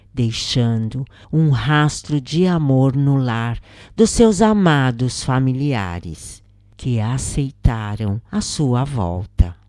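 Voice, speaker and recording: A Brazilian woman reading a scripted spiritual account as narrator, in a solemn, measured manner. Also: rumbling low, warm tone, no room sound, studio quality.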